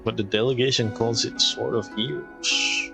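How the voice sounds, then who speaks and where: A man's voice: medium pitch, normal speed, creaky, over music, poor audio. A man streaming a game, casually reacting and muttering along in a loose, mumbled way. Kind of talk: casual reactions and reading along while playing.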